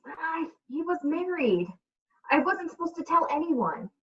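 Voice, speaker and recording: Exasperated single mother, defensive and animated, woman acting in comedy radio play; off-mic, dull livestream audio. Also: very high voice, unhurried, exaggerated voice. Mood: torn, defensive. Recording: distant, slightly muffled livestream mic.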